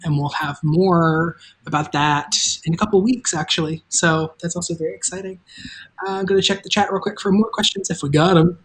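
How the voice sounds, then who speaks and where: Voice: medium pitch, fairly even intonation, a little fast, low-quality recording. A host chatting casually on a livestream, making announcements in a loose, informal way that trails off mid-thought. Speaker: host and creator of an audio drama.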